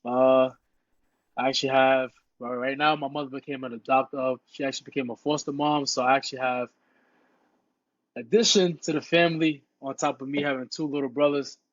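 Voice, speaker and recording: A man's voice: mid-range voice, brisk, no echo. Laid-back young New man, dull dry sound. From Queens, New York. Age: young adult. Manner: relaxed, repeats "you know" constantly. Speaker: podcast guest, high school football player. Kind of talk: casual interview chat.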